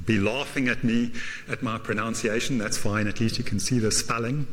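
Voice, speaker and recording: A man whose first language is German gives a university lecture, halting often with fillers and adding self-deprecating asides. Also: mid-range voice, fairly even intonation, slight background noise. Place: lecture hall. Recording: lecture hall reverb.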